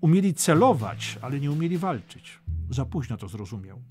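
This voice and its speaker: A man's voice: mid-range voice, big pitch swings, moderate pace, darkish tone, faint music behind. A man narrating a dramatic history audiobook from a script.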